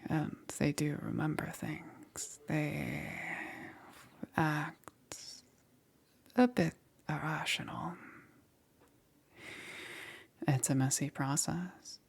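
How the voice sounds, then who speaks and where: A woman playing a calm, eerily gentle witch necromancer in an ASMR roleplay, breathy and often whispering, with unhurried pauses. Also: low-pitched, full character voice. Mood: calm, musing. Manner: unhurried, halting with pauses, soothing. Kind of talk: ASMR roleplay, soft and intimate.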